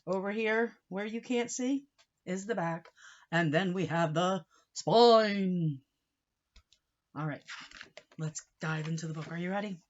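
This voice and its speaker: A woman's voice: fairly low pitch, unhurried, long pauses, muffled recording. A woman reading a picture book aloud for young children, explaining as she goes and putting questions to her listeners. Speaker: read-aloud teacher.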